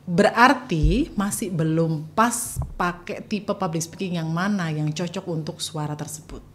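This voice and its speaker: A woman's voice: lowish voice, measured pace. A woman working as a vocal coach, talking casually and demonstratively with exaggerated intonation. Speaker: public speaking and vocal coach. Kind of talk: casual explanatory talk with spoken demonstrations.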